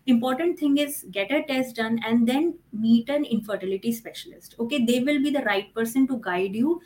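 Female medical expert for a podcast interview, Indian accent, slightly high voice, explains at length. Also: normal speed, a little mellow. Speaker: fertility doctor, podcast guest.

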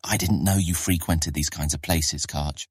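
A man's voice: extremely low pitch, fairly even intonation, moderate pace, breathy edge, dry recording, clean studio sound. An actor playing a cocky, defiant fifteen-year-old boy who likes to boast, in a fantasy audiobook. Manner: boastful, insolent. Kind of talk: full-cast fantasy audiobook acting.

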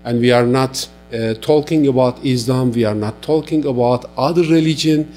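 A man from Turkey with a Turkish accent, speaking halting, earnest non-native English as an interview guest giving testimony. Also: mid-range voice, dark tone, faint music behind.

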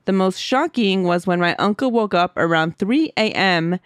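A woman's voice: medium pitch, lively intonation, moderate pace, no echo. Giggly woman podcast co-host, dry mic. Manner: giggly, chatty, reads aloud with asides. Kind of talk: casual chat and reading listener stories.

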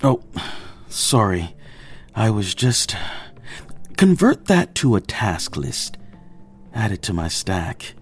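Husky, overworked engineer. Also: male voice, lowish voice, big pitch swings, slow pace, long pauses, soft background music, heavily acted. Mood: distracted, apologetic. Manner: downbeat, apologetic. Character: weary, overworked male rocket engineer leading a crew. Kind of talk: audio drama acting, naturalistic dialogue.

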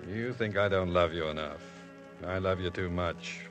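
A man's voice: low-pitched, moderate pace, a bit bright. A man playing an earnest young man about to ship out to war, tender and solemn with his sweetheart, in a 1950s radio drama. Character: young man, serious soldier-to-be and lover, later priest. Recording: old radio broadcast with music.

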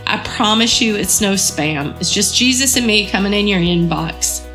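A woman's voice: medium pitch, moderate pace, fairly bright tone, faint music behind. A woman hosting a devotional podcast, earnest and encouraging, her voice a bit froggy from a cold. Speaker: podcast host.

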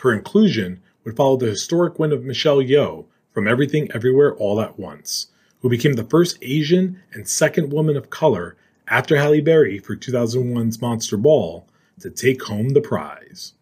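Husky man reading a lively intro. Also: medium pitch, normal speed. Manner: read-aloud delivery. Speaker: podcast host. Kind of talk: scripted intro narration.

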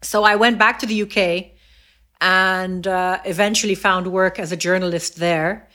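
Middle-aged woman, podcast guest, reflective, halting with fillers. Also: fairly low pitch, fairly even intonation, bright, forceful tone. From Egypt, raised in the US, Saudi Arabia and England. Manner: thoughtful, many fillers and restarts. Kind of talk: reflective interview conversation.

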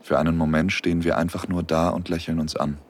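A man's voice: low voice, little pitch movement, quick pace, husky. An actor playing a smitten, romantic young man, tender and intimate, in a first-person audio drama.

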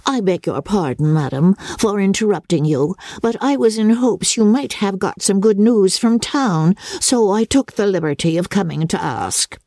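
A woman's voice: medium pitch, moderate pace, few pauses, warm tone, dry recording, studio quality, lightly acted. A woman voicing a deferential, anxious housekeeper in a classic storybook reading, polite and eager. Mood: apologetic, hopeful. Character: deferential, anxious female housekeeper in Regency England.

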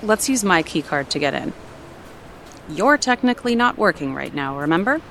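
An actress in an audio drama playing a practical, skeptical office colleague with a dry, casual manner. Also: female voice, on the low side, very animated, normal speed, a bit bright, slight background noise. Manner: matter-of-fact, dry, casual. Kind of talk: naturalistic audio drama acting.

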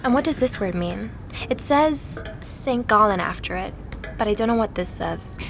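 An actress playing a curious, skeptical woman investigator in an audio drama, speaking in a measured, cautious way. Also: mid-range voice, animated, creaky, noisy background. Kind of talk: audio drama narration and naturalistic dialogue.

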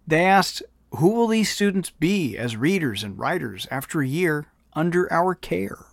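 A man's voice: fairly high pitch, big pitch swings, measured pace, gravelly, no echo, clean studio sound. A man reading a scripted podcast introduction as its host.

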